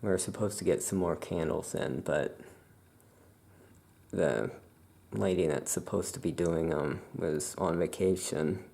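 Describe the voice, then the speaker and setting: A soft-spoken ASMR performer role-playing a laid-back witch shopkeeper, musing casually and hesitantly to a customer. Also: low-pitched, a little slow, creaky.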